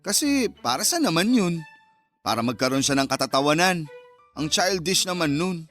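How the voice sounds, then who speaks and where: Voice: medium pitch, big pitch swings, normal speed, a bit bright. Playful, dramatic young best friend. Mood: teasing. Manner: mocking, exaggerated, chatty banter. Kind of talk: Filipino radio-style romance drama acting. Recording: music behind the voice.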